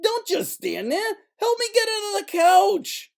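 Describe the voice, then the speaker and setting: A man's voice: very high-pitched, very animated, a little slow, creaky, heavily acted. A man playing a swaggering, defensive theater playboy and pianist in a noir audio drama, fussy and indignant. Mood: panicked.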